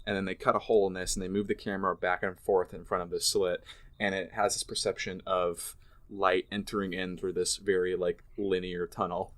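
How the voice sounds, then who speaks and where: A man with a fairly low voice talks through film details on a casual podcast. He explains thoughtfully, pausing and restarting as he searches for words. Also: level tone, fairly bright tone. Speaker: podcast co-host.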